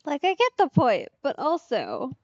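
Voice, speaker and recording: A woman's voice: sits high, wildly swooping pitch, measured pace, dry recording, muffled recording. A woman streamer giving casual, wry let's play commentary, musing aloud.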